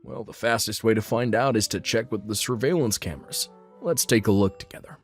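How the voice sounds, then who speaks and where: A courteous property management agent in a manga dub acted by a man. He speaks in a low, measured, matter-of-fact way, all business. Quiet music runs underneath. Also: lightly acted. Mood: polite, matter-of-fact. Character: polite, businesslike male apartment management agent.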